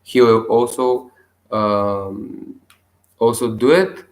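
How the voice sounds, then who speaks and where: A young man from Bulgaria with a Bulgarian accent, talking casually to camera in halting English with many restarts and chuckles. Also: fairly low pitch, steady tone, reverberant. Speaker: self-healing vlogger.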